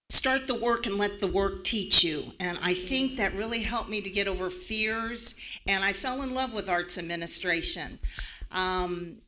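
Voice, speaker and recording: A woman's voice: fairly low pitch, bright, forceful tone, slight background noise, poor audio. A woman in her fifties from Washington, D.C., introducing herself on a community panel in a reflective way, with many ums. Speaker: panelist, arts center manager.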